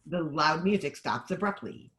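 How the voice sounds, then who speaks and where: A woman's voice: deep voice, lively intonation, normal speed, a bit bright. A woman reading stage directions as scripted narration at a screenplay table read.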